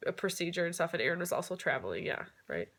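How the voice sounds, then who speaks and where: A young woman with a stuffed-up nose from a cold talks casually and openly, with plenty of ums and the odd laugh. Her voice is bright and forward. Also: lowish voice, big pitch swings, racing. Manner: chatty, many fillers, occasional laughs. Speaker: vlogger. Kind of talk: casual vlog explanation.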